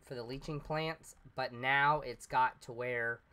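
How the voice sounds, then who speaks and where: A man's voice: medium pitch, steady tone, unhurried, bright, forceful tone, clear, resonant tone. A man casually commenting over his gameplay, rambling step by step and sounding a little congested as he recovers from being sick. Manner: rambling, explains step by step with fillers. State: slightly congested, recovering from illness. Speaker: let's play host.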